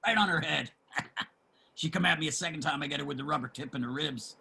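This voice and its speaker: Casting: male actor as brash homeless ex-merchant marine, gravelly, forceful, crude and boastful, stage drama. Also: a little high, fast, full character voice. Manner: combative, crude street talker. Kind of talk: theatrical table reading of a stage play.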